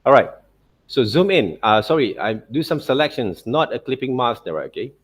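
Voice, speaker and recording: A man narrating an informal art software tutorial as a teacher, in loosely phrased non-native English, often checking in with short tag words. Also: mid-range voice, sing-song, normal speed. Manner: repeats check words like okay and right.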